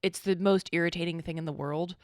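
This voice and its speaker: A woman's voice: lowish voice, fairly even intonation, a little fast, smooth, dry recording, studio quality. A woman co-hosting a political podcast in casual chat, sniffly from allergies, rambling and sardonic, with some swearing. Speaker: podcast co-host.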